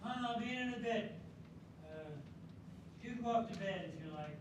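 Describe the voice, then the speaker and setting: Older man doing a bickering old porch-sitter; high voice, unhurried, recorded far off in an echoey noisy hall. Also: lively intonation. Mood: gentle. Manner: slow, plainspoken, bickering. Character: elderly man rocking on porch, stubborn, opinionated. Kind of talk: amateur readers theatre. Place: senior center hall.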